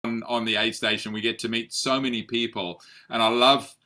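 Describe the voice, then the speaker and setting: A man's voice: mid-range voice, level tone, a little fast, sharp tone. A middle-aged man hosting an interview podcast, polite and friendly, often repeating words as he starts a sentence. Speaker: podcast host.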